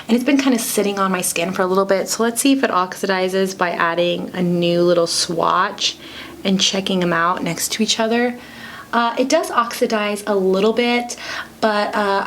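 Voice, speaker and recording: A middle-aged woman with a raspy voice from a lingering cold, chatting through a product review as a beauty vlogger. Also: medium pitch. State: getting over a cold. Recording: roomy echo, faint background noise.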